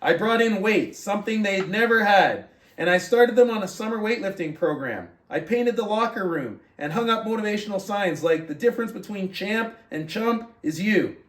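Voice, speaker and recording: Higher-voiced man in a roomy, distant recording. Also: animated, moderate pace, fairly bright tone. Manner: earnest, conversational, rhetorical check-ins like "right?". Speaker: book club host, motivational reader. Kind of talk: motivational talk and reading a book aloud. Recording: roomy echo, distant mic.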